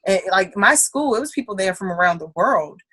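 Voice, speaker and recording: Bright, animated young woman, casual chat. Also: medium pitch, a little fast, slightly rough, no echo. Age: young adult. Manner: animated, laughs often, slangy. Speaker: podcast guest.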